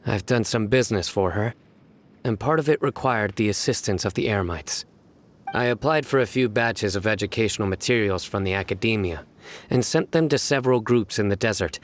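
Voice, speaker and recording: A man playing a reserved, dutiful official in a video game, terse, formal and deadpan. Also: on the low side, close to an everyday voice. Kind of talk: video game dialogue acting.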